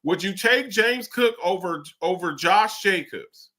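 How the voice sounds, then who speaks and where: A man on a sports talk show debates football players with a bright, fairly high voice. He talks in an animated way, repeating himself and pressing with pointed questions. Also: a little slow.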